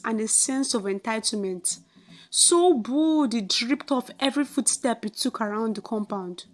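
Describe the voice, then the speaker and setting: A woman with a Nigerian accent narrating a folktale in an expressive, theatrical, scripted storytelling style. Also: fairly high pitch.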